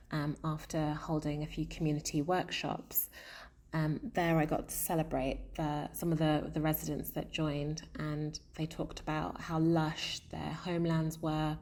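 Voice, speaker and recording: A woman with a low, deep voice talks about her art in an earnest, reflective way. She pauses often and fills the gaps with soft hesitations as she gathers her thoughts. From South London. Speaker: comics artist. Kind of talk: personal artist statement to camera.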